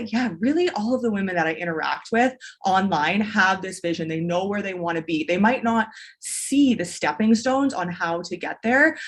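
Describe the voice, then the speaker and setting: A woman talking on a podcast, her voice sitting at an ordinary middle pitch. She is eager and rambling, circling back and restarting her sentences as she tells her own story. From Canada. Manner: enthusiastic, long-winded with restarts. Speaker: podcast guest, business mentor. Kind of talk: casual interview storytelling.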